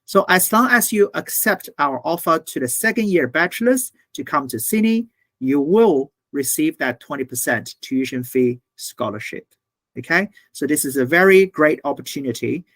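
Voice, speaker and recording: A man who works as a university's international development manager presents slides in a webinar over a video call. He speaks earnestly and haltingly, with many "uh"s and checks of "okay?". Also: on the high side, animated, a bit bright, dry recording.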